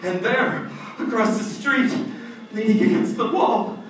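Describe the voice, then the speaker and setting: An actor playing a guilt-ridden, panicky judge turned murderer in old-time radio suspense, melodramatic and formal in phrasing. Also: male voice, high-pitched, expressive, a little slow. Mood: haunted narrating. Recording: echoey hall from far off, faint noise.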